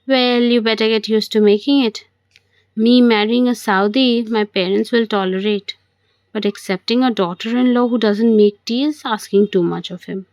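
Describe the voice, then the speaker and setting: A woman's voice: fairly high pitch, fairly even intonation, moderate pace, a little mellow, no echo, natural voice. A woman reading the part of a playful, cajoling young man in an amateur audio play. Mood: wry.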